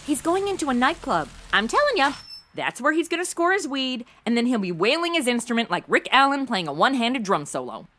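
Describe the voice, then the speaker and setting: A woman's voice: high-pitched, sing-song, brisk, pushed and bright, heavily acted. A woman playing a brash, ditzy, sarcastic modern young woman in a comedic audio drama, with slangy, theatrical delivery. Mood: dismissive.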